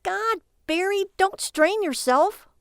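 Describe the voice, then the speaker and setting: A woman voicing a sharp-tongued, exasperated but fond wife with a sarcastic, mocking manner in a narrated story. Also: very high voice, expressive, slow pace, no room sound, studio quality, voice pushed up, exaggerated voice.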